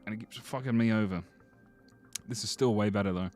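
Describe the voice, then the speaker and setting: Joking man streaming, slightly hoarse. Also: lowish voice, a little fast, a little mellow, soft background music. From Britain. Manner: joking, rambling, swears freely. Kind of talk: casual livestream commentary.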